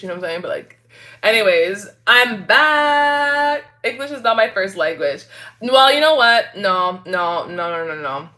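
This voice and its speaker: A woman hosting a casual livestream, chatting to her viewers in an animated, giggly, slangy way. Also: medium pitch. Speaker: livestream host reading and answering viewer chat.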